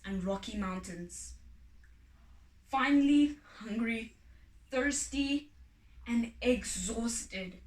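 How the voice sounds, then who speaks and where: Slow, high-voiced woman storyteller in echoey room. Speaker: story reader. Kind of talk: expressive folk tale reading. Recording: echoey room, distant from mic.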